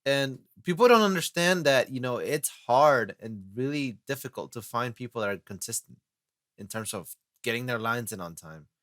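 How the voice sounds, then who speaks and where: A man with a bright, forward voice hosting a loose podcast conversation. He rambles and fills gaps with "you know" often. The sound is dry and close. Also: mid-range voice, lively intonation, moderate pace, no echo. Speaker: host and casting director. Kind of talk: casual podcast chat.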